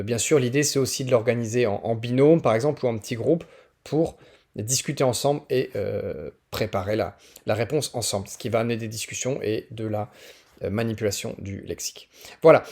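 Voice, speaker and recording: A man's voice: mid-range voice, moderate pace, dark tone. A man from northern France with a French accent presents teaching resources in an informal, explanatory screen tutorial, often hesitating as he thinks aloud.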